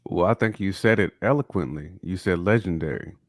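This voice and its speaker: A man's voice: deep voice, a little fast, no room sound. A man in his forties co-hosting a casual hip hop podcast, emphatic and enthusiastic. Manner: enthusiastic, emphatic praise with repeated words. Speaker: podcast co-host.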